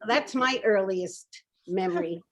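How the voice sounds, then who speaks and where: A woman's voice: mid-range voice, measured pace, a bit bright. A woman chatting casually and wryly in a group discussion on a video call. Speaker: participant in post-show discussion. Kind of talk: casual chat.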